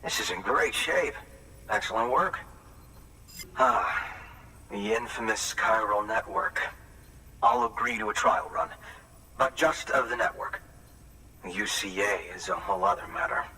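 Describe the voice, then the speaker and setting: An actor playing a wary, independent recluse in a video game, with measured speech. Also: male voice, on the low side, lively intonation, bright, forceful tone, a little hiss or hum, heavily acted, low-quality recording.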